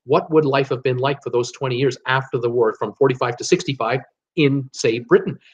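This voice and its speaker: A man's voice: mid-range voice, fairly quick, slightly rough. A man, telling stories casually on a livestream in a rambling, hesitant way, repeating words as he thinks aloud. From Canada. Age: 62, early sixties. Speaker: host of a cruise travel livestream. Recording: dull, lo-fi livestream audio.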